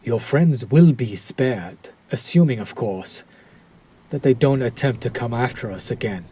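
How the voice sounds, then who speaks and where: A man's voice: fairly low pitch, warm tone, a little hiss or hum. A man playing a courteous, mocking vampire lord in a roleplay audio, speaking in a silky, theatrical, condescending way. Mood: menacing, amused. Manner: silky, theatrical, condescending, lingering over words. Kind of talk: dramatic roleplay audio addressed to the listener.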